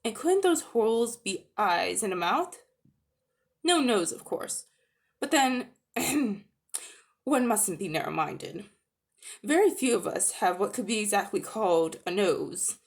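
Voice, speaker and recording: A woman voicing a genteel, thoughtful female elephant in a storybook, polite, deliberate and a little self-important. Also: medium pitch, lively intonation. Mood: musing.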